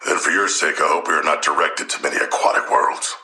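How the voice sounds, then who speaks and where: A man's voice: very deep voice, level tone, fast, pushed and bright, echoey room, exaggerated voice. A man voicing a hulking, earnest, literal-minded shark warrior in a video game, speaking in formal, grandiose, archaic phrases. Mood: earnest, eager. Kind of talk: video game character dialogue.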